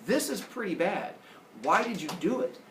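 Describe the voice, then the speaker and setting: A man's voice: sits high, sing-song, moderate pace, reverberant, recorded from a distance, noisy background, voice pushed up. A man voicing an exasperated, fatherly Greek god who talks in a colloquial, matter-of-fact way.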